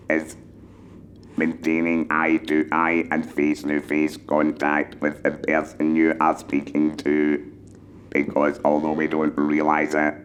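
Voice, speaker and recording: A man speaking through an electrolarynx with a buzzing, mechanical monotone, carefully enunciating as he explains and gives advice in measured phrases. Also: a bass voice, slight background noise. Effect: mechanical electrolarynx vibration. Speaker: patient mentor for electrolarynx users. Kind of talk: explanatory talk giving advice.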